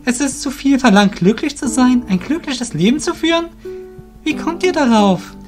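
A man's voice: very high-pitched, animated, moderate pace, soft background music, pitched above the performer's own voice. A man voicing a troubled young warrior in an audio drama, earnest and plaintive, blaming himself. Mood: upset, pleading.

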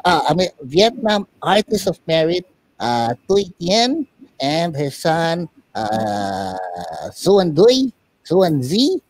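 A mid-pitched host runs a live online interview over a video call. The delivery is hesitant between phrases, with announcer-style introductions for the guests. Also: darkish tone, no echo, rough recording. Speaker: puppet show host.

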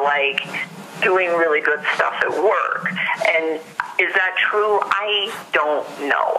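A woman's voice: sits low, bright, forceful tone, slight background noise, poor audio. An American woman giving a witness account in a phone interview, hesitant and often saying "like", on a thin telephone recording. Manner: hesitant, frequent use of "like". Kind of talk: recounting events in an interview. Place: phone call.

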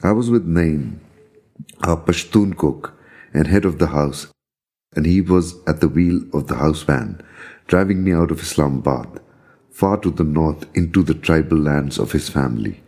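A man narrates his own story in a very low voice with a soft, dark tone. His delivery is measured and solemn, and there is a husky grain to it as he reads. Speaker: storyteller reading own account. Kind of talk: scripted narration of a visionary journey.

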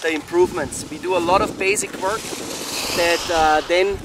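A middle-aged man with a slightly high voice talks to camera as a coach and camp host. His delivery is halting and unscripted. Clear outdoor noise sits behind him on the open slope. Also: fairly even intonation. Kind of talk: talk to camera, promotional recap. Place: outdoors on a snowy mountain slope. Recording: clearly noisy outdoor location sound.

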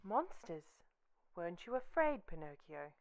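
A woman playing a gentle, motherly fairy who moralises in a measured way in a children's radio play. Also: on the low side, big pitch swings, very noisy. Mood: questioning. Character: gentle, gravely admonishing motherly Blue Fairy.

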